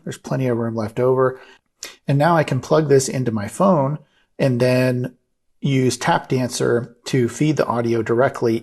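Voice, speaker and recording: A man's voice: medium pitch, darkish tone, a little hiss or hum. A man hosting a retro tech repair video, explaining things step by step in a casual, hands-on way. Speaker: host of a retro computing repair channel.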